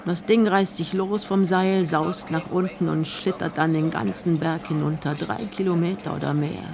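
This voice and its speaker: A woman's voice: fairly low pitch, steady tone, normal speed, gravelly. An actress playing a frail, eccentric woman in her eighties, imperious and needling, in a German radio play. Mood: dry, stubborn. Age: elderly. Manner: theatrical, scolding, pleading.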